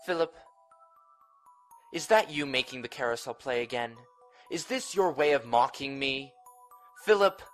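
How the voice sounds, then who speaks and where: An actor playing a bitter, agitated young heir in a gothic soap audio drama, with a melodramatic, accusing delivery. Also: male voice, medium pitch, expressive, a little slow, long pauses, soft background music, close to an everyday voice. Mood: suspicious.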